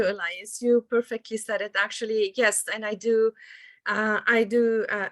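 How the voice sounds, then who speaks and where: A woman with a Lebanese accent talks through a slide presentation at a middle pitch. She pauses often and fills the gaps with soft ums and uhs. The sound comes over a video call. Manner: hesitant, many fillers.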